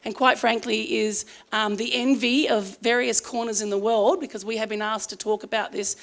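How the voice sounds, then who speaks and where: A woman's voice: fairly high pitch, steady tone, normal speed. A middle-aged Australian woman giving a conference talk in a chatty, earnest way, full of ums, her voice a little strained from singing. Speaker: conference speaker. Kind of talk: conference presentation.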